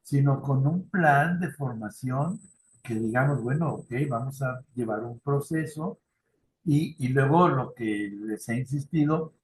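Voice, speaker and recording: A man's voice: mid-range voice, unhurried, roomy sound, slight background noise, low-quality recording. A middle-aged man with a Mexican Spanish accent leading a youth ministry training session, talking conversationally with frequent tag questions and personal anecdotes. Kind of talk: online formation talk. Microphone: video call.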